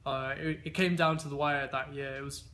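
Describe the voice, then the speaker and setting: A man's voice: medium pitch, fairly even intonation, fairly quick, pushed and bright. A man in his twenties, raised in England, answering interview questions in an easygoing way with many fillers and restarts. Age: 20s. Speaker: interviewee, games player.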